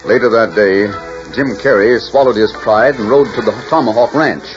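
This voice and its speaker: A man delivers stirring scripted narration for a 1940s radio drama. His voice is medium in pitch, over prominent music, and the old recording is dull. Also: moderate pace.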